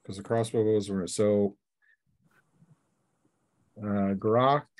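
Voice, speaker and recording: A man's voice: lowish voice, steady tone, slow pace, no echo. A man acting as game master, casually narrating a tabletop game in a playful way, with spoken sound effects.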